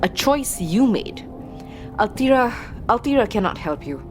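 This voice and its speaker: A woman's voice: on the high side, big pitch swings, measured pace, a little mellow. An eccentric fortune-telling witch voiced by a woman for an audio drama. She is cryptic and wry, taking her time over each odd, inverted phrase. Faint music plays underneath. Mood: grave, apologetic. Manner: speaks of herself in third person with inverted phrasing. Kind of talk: audio drama character acting.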